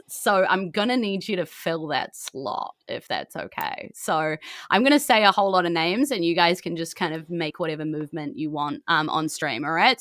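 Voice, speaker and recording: A woman's voice: fairly low pitch, pushed and bright, no room sound, studio quality. A woman with a New Zealand accent hosting a chatty live stream as game master, with a playful, silly manner. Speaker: game master and podcast host.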